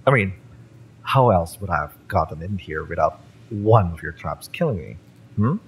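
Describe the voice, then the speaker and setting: A man playing a fiery, spurned mercenary ex-bodyguard in an audio roleplay, mocking and drawling with menacing intimacy and dramatic pauses. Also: deep voice, big pitch swings, slight background noise, exaggerated voice, low-quality recording. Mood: menacing, smug. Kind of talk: scripted audio roleplay addressed to the listener.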